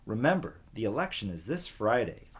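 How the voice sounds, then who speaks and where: A man voicing an encouraging male school teacher in an expressive storybook reading. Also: lowish voice, moderate pace, slightly rough.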